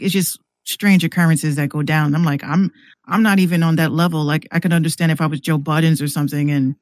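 A man with a slightly high voice chats as a guest on a podcast. His talk is loose and rambling, circling back over words as he thinks, and the sound is close and dry. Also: dry recording. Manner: rambling, repeats words while working out thoughts. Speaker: podcast guest and music maker. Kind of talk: casual conversational podcast talk.

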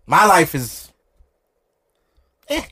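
A man's voice: on the high side, wildly swooping pitch, very slow, bright, forceful tone, breathy edge. A man hosting a podcast in a studio. He chats loosely and profanely while drinking, brash and provocative, and laughs often. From Brooklyn, New York. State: drinking alcohol while recording. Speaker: podcast host. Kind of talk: loose, profane casual chat.

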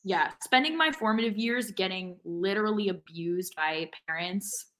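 A woman's voice: mid-range voice, moderate pace, a bit bright. An American woman co-hosting a casual sports podcast, with a wry, self-deprecating way of talking. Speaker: podcast co-host.

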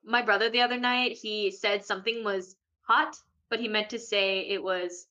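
A woman's voice: mid-range voice, moderate pace, muffled recording. A young woman in her twenties chatting casually on a podcast, telling a funny story with energy. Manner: bright, laughing, animated storyteller. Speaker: podcast co-host. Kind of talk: casual chat.

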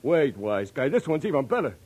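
A man playing a cocky, touchy secretary in a 1940s radio drama, wisecracking in slang with a husky voice. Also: mid-range voice, big pitch swings, fairly quick, a little mellow. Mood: brash, defensive. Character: cocky, touchy male secretary and prankster's admirer. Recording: vintage 1940s radio recording.

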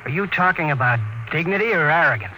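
A man's voice: a little high, lively intonation, fast, sharp tone, soft background music. An actor playing a plain-spoken, puzzled, testy expedition crewman in a classic radio drama, earnest and easily indignant. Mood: exasperated. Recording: slightly noisy old radio recording.

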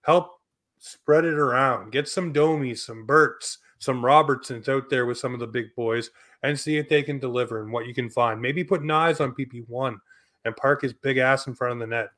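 A man with an average-pitched voice hosts casual sports talk. He gives his opinions bluntly, in punchy, colloquial phrases. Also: moderate pace, fairly bright tone. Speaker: sports podcast host.

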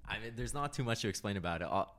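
A man appearing as a guest on a sports podcast, talking in a relaxed, slightly hesitant way. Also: fairly low pitch, fairly quick, a little hiss or hum. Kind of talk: casual sports chat.

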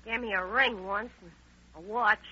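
A woman's voice: medium pitch, steady tone, normal speed, pushed and bright, husky. An actress playing a tough, sarcastic gangster's girlfriend in an old radio crime drama, with an American accent and defiant, slangy delivery. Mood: sullen, sardonic. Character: tough, brassy, sarcastic young gangster's girlfriend under questioning. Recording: muffled, scratchy old radio broadcast.

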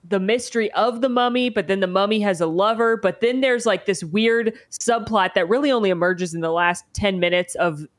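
A woman's voice: mid-range voice, brisk, few pauses. A woman co-hosting a casual podcast, talking in a chatty way with lots of "like". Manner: chatty, uses "like" a lot. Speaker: podcast co-host. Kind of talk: casual chat.

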